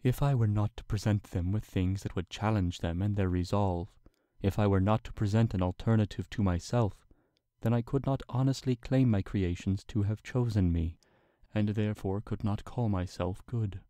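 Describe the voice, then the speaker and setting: Man voicing a solemn, slow-spoken regal stallion god. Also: fairly low pitch, dark tone, dry recording, close to an everyday voice. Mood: gentle, grave. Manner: slow, deliberate, formal, reassuring. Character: solemn, gentle, regal divine stallion king, ancient and patient. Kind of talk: dramatic fan fiction reading.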